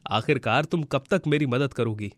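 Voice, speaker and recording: A man's voice: mid-range voice, normal speed, no room sound, clean studio sound, close to an everyday voice. A man voicing a fretful, good-hearted village farmer and henpecked husband in a children's moral story. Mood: doubtful. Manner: fretful, bewildered.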